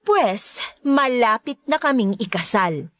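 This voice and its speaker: A woman's voice: fairly high pitch, expressive, takes it slowly, dry recording, studio quality. A woman playing a spiteful, boastful love rival in a radio drama, with a haughty, taunting manner. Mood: defiant, irritated. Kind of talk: Tagalog radio drama acting.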